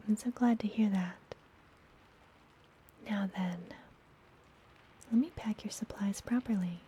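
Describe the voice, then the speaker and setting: A woman playing a friendly, mysterious, teasing witch healer in an ASMR fantasy roleplay, speaking gently and soothingly in a soft, breathy whisper. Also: mid-range voice, a little hiss or hum, natural voice, poor audio. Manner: slow, gentle, soothing, deliberate.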